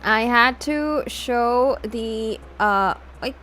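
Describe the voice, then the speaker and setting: A woman with a high voice hosts a casual livestream, talking directly to her viewers. Her delivery is scattered and unscripted, with repeated words and restarted phrases, and she moves freely between two languages. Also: drawn-out words, a bit bright, a little hiss or hum. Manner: switches between English and Hindi mid-talk. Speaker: livestream host, VTuber.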